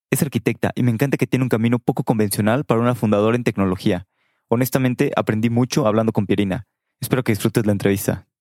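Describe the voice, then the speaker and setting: A man's voice: mid-range voice, darkish tone, no echo, studio quality. A man hosting an interview podcast, greeting listeners playfully before asking his guest questions.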